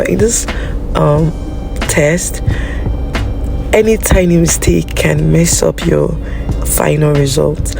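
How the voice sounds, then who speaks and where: A biology teacher, a woman with a Nigerian accent, hoarse and raspy from a flu, narrating a lab practical in a chatty, apologetic way. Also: low voice. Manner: chatty and apologetic, with many fillers. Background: background music.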